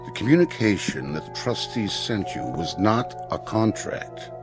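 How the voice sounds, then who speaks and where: An actor playing a formal, awkwardly tactful school headmaster in a radio drama, with a halting, stiffly polite delivery. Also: male voice, fairly low pitch, big pitch swings, music in the background. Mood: grave, uneasy.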